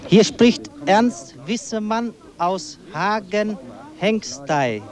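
Slow, very high-pitched man. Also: animated. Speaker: homecomer sending greetings. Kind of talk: radio greeting to family.

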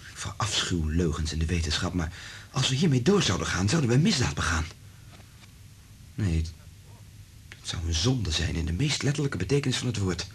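An actor playing an anxious, principled research scientist in a Dutch radio drama, earnest and emphatic. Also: male voice, low-pitched, very animated, brisk, breathy edge, heavily acted. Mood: grave, resolved.

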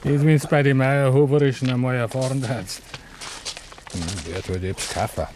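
An actor playing a sly, needling village card player, dry and gossipy, with a Bavarian accent, in 1950s radio play style. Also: male voice, medium pitch, steady tone, normal speed, lightly acted. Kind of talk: 1950s German dialect radio play acting. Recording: 1950s radio recording, dull, faint noise.

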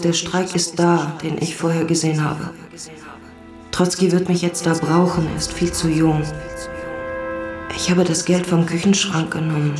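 Man as revolutionary husband writing home, intimate, restrained, level pitch, radio drama, reverberant with music. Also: natural voice. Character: earnest revolutionary writing a farewell letter to his wife. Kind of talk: German radio play monologue. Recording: echoey studio with music behind.

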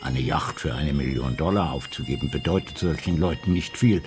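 An actor playing a deliberate older investigator in a radio crime drama, speaking in a measured, analytical way. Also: male voice, rumbling low, darkish tone, soft background music.

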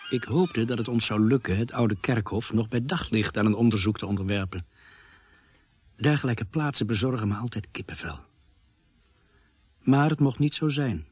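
A man's voice: mid-range voice, expressive, normal speed, pauses often, darkish tone, husky, no room sound. An actor playing an uneasy policeman who narrates his case in a scripted radio drama. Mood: sombre, uneasy.

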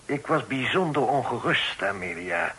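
A proud, shrewd father in a period radio play. His voice has a husky grain and a hard, bright edge. He speaks formally, with measured authority. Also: medium pitch, animated, exaggerated voice, low-quality recording. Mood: grave, conciliatory. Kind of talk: period radio drama acting. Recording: old radio play recording.

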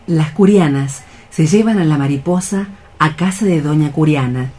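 A man's voice: on the high side, moderate pace, warm tone, breathy edge. A man narrating a radio play, reading out scene headings and stage directions. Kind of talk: scripted radio theatre narration. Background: music.

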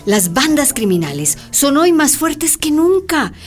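An actress playing an earnest, didactic town mayor with a Latin American accent and emphatic key words in a radio drama. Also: female voice, high voice, sing-song, a little slow, soft background music. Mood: grave, explaining. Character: earnest, worldly-wise female town mayor, explaining patiently.

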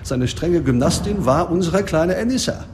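An actor playing a businesslike police detective in a radio drama, reporting facts in a matter-of-fact manner. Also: male voice, on the high side, animated, normal speed, darkish tone, a little hiss or hum, close to an everyday voice. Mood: insistent. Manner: factual, businesslike.

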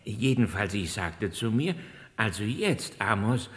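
An actor playing a dutiful, slow-witted police escort in a comic radio play, explaining himself earnestly and at length. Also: male voice, fairly low pitch, lively intonation, long reverb tail. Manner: deferential, earnest, long-winded explanations. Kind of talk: comic radio crime drama acting.

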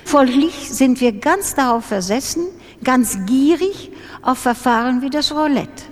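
A woman's voice: high-pitched, expressive, measured pace. A woman playing a wry, self-mocking gambler, reading literary first-person prose in a radio drama. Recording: long hall reverb.

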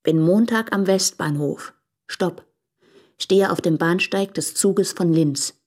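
A woman's voice: lowish voice, slow, darkish tone. An actress playing an insistent young woman in love, pleading urgently and intimately in a radio play.

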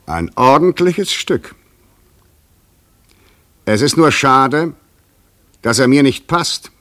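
An actor in a radio play playing a probing, insistent stranger who talks in a theatrical, poetic way, moving between soft and emphatic. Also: male voice, medium pitch, animated, takes it slowly. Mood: solemn, poetic. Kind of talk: German radio play acting.

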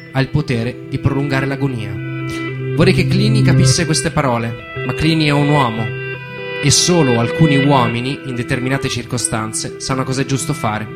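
A man delivering an emphatic theatrical monologue, built on incantatory repetition, as a stage narrator. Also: mid-range voice. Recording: loud acoustic guitar accompaniment. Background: plucked guitar music.

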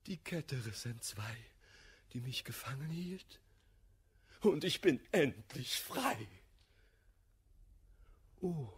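A wounded, idealistic lover in a classical radio play. His voice is very raspy and takes its time. He declaims the verse emphatically, rising and falling widely in pitch. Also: exaggerated voice. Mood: solemn, rueful. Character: passionate, wounded, idealistic man, proud misanthrope in love. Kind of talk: German classical verse radio drama acting.

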